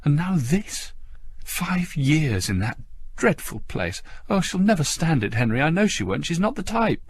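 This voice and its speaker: A man's voice: a little high, sing-song, a little slow, husky, dry recording, clean studio sound, pitched above the performer's own voice, heavily acted. A man voicing an anguished middle-aged mother, clinging and pleading, in a whimpering dramatic audiobook voice. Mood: distraught. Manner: emotional, pleading.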